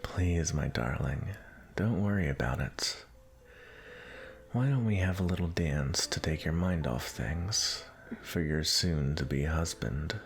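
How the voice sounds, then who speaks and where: A man playing a smooth, possessive prince who flirts and coaxes in a romantic audio roleplay. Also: very deep voice, takes it slowly, soft background music, exaggerated voice. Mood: soothing, suave. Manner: suave, flirtatious, coaxing. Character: smooth, possessive suitor prince courting his arranged bride.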